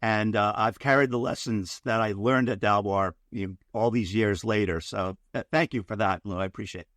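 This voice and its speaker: A man's voice: fairly low pitch, steady tone, moderate pace, a bit bright, no room sound, studio quality. A man hosting a podcast interview, asking questions in a conversational, friendly way. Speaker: podcast host.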